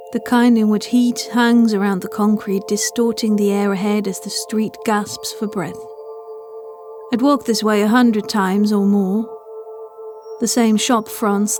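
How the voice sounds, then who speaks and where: A woman narrating a scripted first-person horror story as an audio fiction reader. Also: medium pitch.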